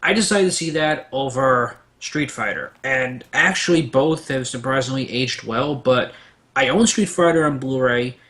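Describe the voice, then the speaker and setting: A man's voice: mid-range voice, normal speed, fairly bright tone. A man on a casual pop-culture debate panel, sharing trivia in a rambling, anecdotal and emphatic way.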